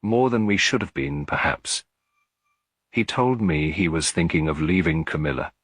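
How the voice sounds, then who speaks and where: A man's voice: deep voice, moderate pace, fairly bright tone, breathy edge, no room sound, clean studio sound. A man voicing an unhappy younger woman in a detective story, speaking in a subdued, hesitant, confessional manner. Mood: subdued, confiding. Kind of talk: detective story character reading.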